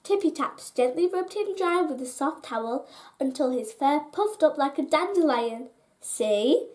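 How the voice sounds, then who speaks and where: A woman reading a children's bedtime story aloud in an expressive, deliberate way, as a storyteller. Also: high-pitched.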